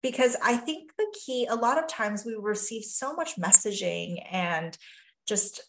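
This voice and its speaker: Slow, earnest woman from Taiwan. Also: fairly high pitch, lively intonation, fairly bright tone, roomy sound, poor audio. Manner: earnest, thoughtful, many "you know" and "really". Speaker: guest, physician and life coach. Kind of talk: reflective podcast conversation.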